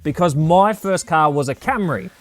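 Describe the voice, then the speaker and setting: A man's voice: fairly high pitch, animated, moderate pace, a bit bright, faint music behind. A man around thirty, narrating his car project in a wry, self-mocking voice-over. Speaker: car restoration vlogger.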